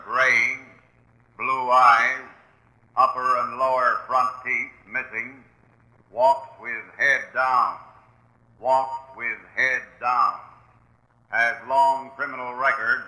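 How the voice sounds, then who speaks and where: A man reading a 1940s radio bulletin deliberately, item by item, in clipped scripted phrases. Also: mid-range voice, bright, forceful tone. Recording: roomy, echoing 1940s radio recording.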